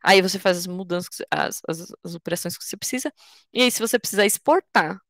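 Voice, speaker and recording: Gravelly-voiced young woman teaching coding. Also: fairly low pitch, fast, a bit bright, no echo, clean studio sound. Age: 20s. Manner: patient, informal, explains step by step with restarts. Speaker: workshop instructor. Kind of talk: online coding tutorial.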